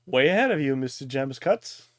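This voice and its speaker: A man's voice: medium pitch, animated, normal speed, no echo. A man from Germany with a German accent, a native German speaker, hosting a casual live-stream chat in a jokey, teasing manner. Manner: jokey, crude humour, teasing.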